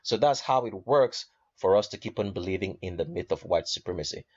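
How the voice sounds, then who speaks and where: A man's voice: low voice, lively intonation, fairly quick. A man presenting an informal explanatory talk, emphatic, drawing out and repeating key words. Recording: dull, lo-fi audio.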